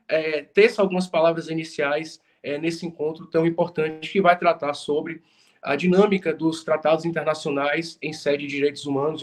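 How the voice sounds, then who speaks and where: A male podcast host with a somewhat high voice hands the floor to his guest. His phrasing is formal and ceremonious, with small hesitations between clauses.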